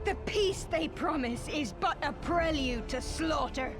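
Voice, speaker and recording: A woman's voice: high voice, expressive, measured pace, music in the background. A woman playing a fervent, vengeful rebel agitator in a video game, declaiming with theatrical passion. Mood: solemn incitement. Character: fervent vengeful female rebel agitator rallying commoners against nobles. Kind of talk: dramatic video game voice acting, impassioned oratory.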